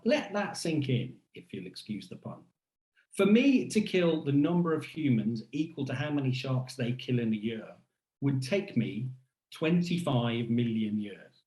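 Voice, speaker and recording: A man delivering a scripted first-person lecture in a deliberate, rhetorical and wry manner, as a keynote speaker. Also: on the high side, warm tone, roomy sound, rough recording.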